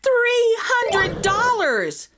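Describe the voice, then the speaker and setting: A woman's voice: very high-pitched, very animated, drawn-out words, heavy background noise, pitched above the performer's own voice, exaggerated voice. A woman voicing an earnest, polite young boy in a children's storybook, with a plain-spoken, wide-eyed manner. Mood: puzzled, doubtful.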